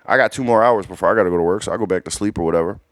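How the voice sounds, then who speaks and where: A man's voice: low voice, racing, dry recording, clean studio sound. A young man casually telling a profane story, acting out every line of dialogue. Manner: animated, profane, acts out dialogue.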